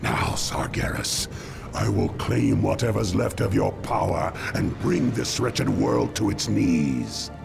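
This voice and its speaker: An actor playing a ruthless, power-hungry orc warlock in a video game, with a gravelly voice and contemptuous, grandiose delivery. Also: male voice, fairly low pitch, slow, over music, exaggerated voice. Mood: commanding, contemptuous. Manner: contemptuous, grandiose villainous declaiming. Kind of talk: dramatic video game voice acting.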